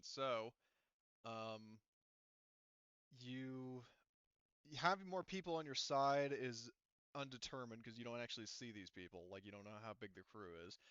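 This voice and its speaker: A man runs a tabletop roleplaying game in a bright, forceful voice. He talks informally as game master, on a close, dry mic with slightly muffled top end. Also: medium pitch, clear, resonant tone, dry recording.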